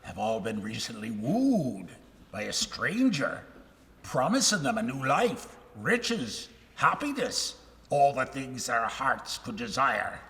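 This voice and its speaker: A man's voice: mid-range voice, huge pitch swings, slow pace, pushed and bright, exaggerated voice. A man playing a blunt, wary father with a folksy, insinuating, theatrical way of talking in a live radio melodrama. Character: blunt, wary father sizing up his daughter's suitor. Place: live stage in a ballroom. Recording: reverberant live hall.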